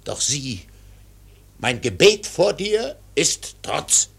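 An actor playing an obsessed, defiant old whaling captain in a radio drama, solemn and declamatory, with a gravelly, husky voice. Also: male voice, a little high, sing-song, drawn-out words, a bit bright, heavily acted. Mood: fierce, exalted. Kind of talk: literary radio drama monologue.

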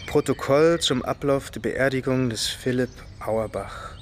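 An actor playing a pedantic official, reading out a formal report in a precise, bureaucratic way in a radio drama. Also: male voice, medium pitch, unhurried, close to an everyday voice. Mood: officious. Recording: loud vehicle noise, outdoor ambience.

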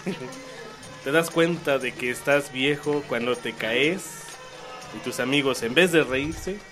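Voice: medium pitch, slow pace. Un locutor de casi treinta años con acento mexicano bromea y ríe en un programa de radio. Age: late 20s. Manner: joking, laughs often. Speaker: radio co-host. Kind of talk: casual radio chat. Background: music.

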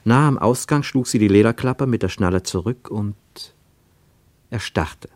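A man reading scripted literary narration for a radio play in a measured, precise and expressive storytelling manner. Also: fairly low pitch, no room sound.

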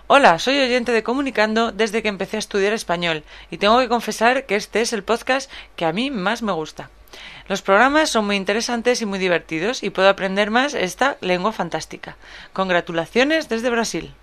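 Co-host, banter and quick asides, casual read of listener mail, mid pitch. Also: expressive, fairly bright tone. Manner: brief interjections, playful banter. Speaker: podcast co-host.

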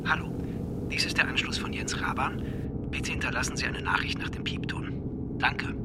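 An actor playing a casual, dismissive writer husband in an audio drama, with a laconic, offhand way of talking. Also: male voice, mid-range voice, moderate pace, whispered, close to an everyday voice. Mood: flat. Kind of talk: German audio drama acting.

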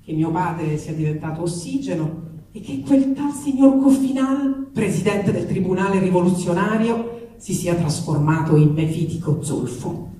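A woman giving an expressive, theatrical reading of a letter. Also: on the low side, moderate pace. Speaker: reader of comic letters. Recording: echoey room, distant mic, faint noise.

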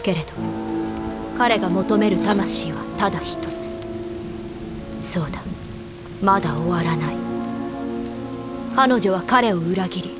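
A woman reads dark scripted narration in a somber, measured way. She speaks very slowly over loud music and falling rain. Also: lowish voice.

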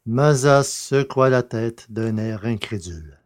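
A man reading scripted narration for a science fiction audio drama in a measured, literary storytelling manner. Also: mid-range voice, lively intonation.

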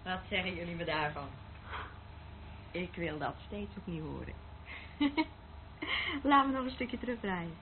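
A woman's voice: fairly low pitch, animated, unhurried, long pauses. A woman acting a brazen, seductive, volatile agent in a Dutch radio play, with a theatrical, taunting manner. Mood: teasing. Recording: narrow phone-like old radio recording, faint noise.

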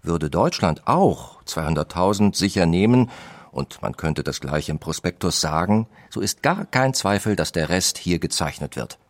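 An insistent industrialist's letters. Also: male voice, low voice, expressive, normal speed, no room sound. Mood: urging. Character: German industrialist brother writing urgent business letters. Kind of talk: reading historical letters in a radio feature.

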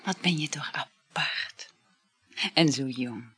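An actress playing a needy, adoring mistress in an intimate radio play, languid and sighing, often dropping to a whisper. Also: female voice, low-pitched, takes it slowly, fairly bright tone, a little hiss or hum. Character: needy, adoring mistress, insecure and short of money.

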